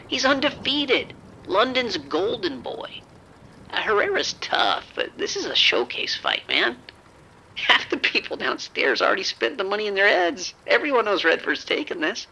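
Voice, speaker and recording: An actor playing a reckless gambler in an audio drama, cocky and breezy, always coaxing and persuading. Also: male voice, high voice, vocal fry, pushed and bright, exaggerated voice, poor audio.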